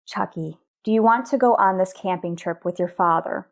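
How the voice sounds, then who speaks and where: A woman playing a worried but composed mother and wife in an old-fashioned radio soap, with proper, deliberate phrasing. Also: medium pitch, gravelly. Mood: gently coaxing.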